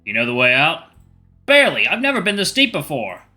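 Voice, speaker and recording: A tough old man with a folksy drawl, steady and unbothered, put on by a man reading a story. The voice sits noticeably higher than the reader's own and comes out bright and forceful. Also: a little fast, soft background music, exaggerated voice. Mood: gruff. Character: tough old male fighter, gruff and steady.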